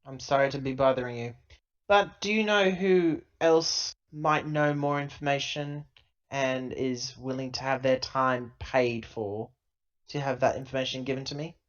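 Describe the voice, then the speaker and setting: A man's voice: medium pitch, measured pace, lightly acted. Man playing a blunt, impatient company woman, muffled sound. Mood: pressing. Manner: blunt, demanding, no-nonsense.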